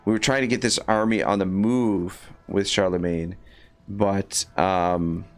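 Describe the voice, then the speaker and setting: A man's voice: low-pitched, fairly even intonation, a little slow. A man with a scratchy voice giving rambling, casual commentary while livestreaming a strategy game, with many fillers and restarts. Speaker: livestream gamer.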